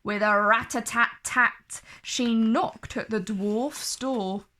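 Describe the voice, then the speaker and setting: A woman reading a scripted story aloud for children, in an expressive, deliberate storytelling style. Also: fairly high pitch.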